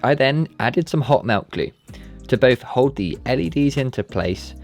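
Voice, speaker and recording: A man's voice: mid-range voice, expressive, measured pace, darkish tone. A man giving scripted, methodical, step-by-step instruction as the presenter of a maker channel. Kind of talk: scripted tutorial narration. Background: background music.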